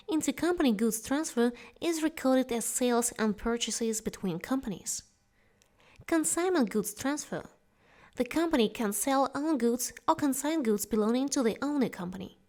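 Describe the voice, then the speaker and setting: High-pitched woman narrating a tutorial. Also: lively intonation, normal speed, a little mellow. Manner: instructional, step-by-step directions. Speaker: software course instructor.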